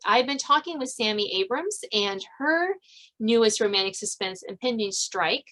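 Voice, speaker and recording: A woman's voice: on the high side, animated, moderate pace, fairly bright tone, low-quality recording. A woman hosting a podcast in a casual, conversational interview style. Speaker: podcast host.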